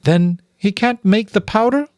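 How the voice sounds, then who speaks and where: A man's voice: sits high, unhurried, darkish tone, no room sound, voice pushed up. A man voicing an earnest, worried mother field mouse who questions eagerly, in a storybook reading. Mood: surprised, urgent. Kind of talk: dramatic audiobook reading of a children's novel.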